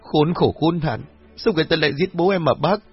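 Man performing a wailing, distraught village woman, raised pitch. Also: brisk, husky, lo-fi sound, soft background music. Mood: frantic grief. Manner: wailing, pleading, sobbing. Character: distraught village woman, daughter sobbing over her murdered father.

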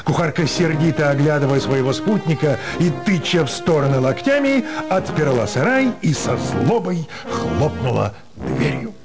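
Expressive male narrator, lively intonation. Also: on the high side, normal speed, music in the background. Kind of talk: expressive literary narration for radio drama.

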